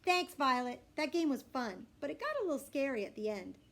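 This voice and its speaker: An excitable, imaginative little boy, as a woman voices him, in a bright, lively voice that bounces around. He sounds eager and a bit breathless. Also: high-pitched, fairly quick, voice pushed up, heavily acted. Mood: relieved.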